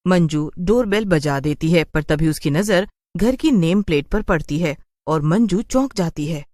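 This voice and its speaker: A woman's voice: lowish voice, lively intonation, unhurried, mellow, no room sound, clean studio sound. A woman reading a scripted horror story as its narrator.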